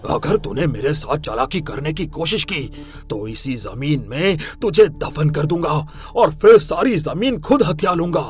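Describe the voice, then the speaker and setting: Man playing a quarrelsome old farmer, lively pitch. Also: measured pace. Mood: angry, threatening. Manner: combative. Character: quarrelsome elderly village farmer, male, threatening. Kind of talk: animated moral story voice acting.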